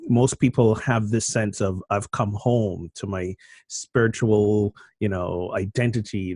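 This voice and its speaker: A middle-aged man from Barbados, telling his story as a guest minister in a relaxed, self-deprecating way. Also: on the low side, no echo. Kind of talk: casual conversational storytelling.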